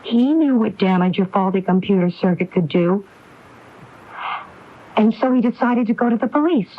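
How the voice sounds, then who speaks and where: An actress playing a determined, grieving woman who questions and accuses deliberately in a 1980s radio drama. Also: mid-range voice, expressive, lightly acted. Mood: accusing, grieved. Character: determined grieving woman confronting her brother over fraud. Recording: muffled 1980s AM radio broadcast tape.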